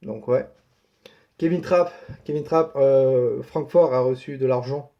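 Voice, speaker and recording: A man hosts a casual football news update in a mellow, relaxed voice. He sounds like he has a cold and talks colloquially, often repeating a point to drive it home. The recording is dull and lo-fi. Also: mid-range voice. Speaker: host of a football transfer news channel.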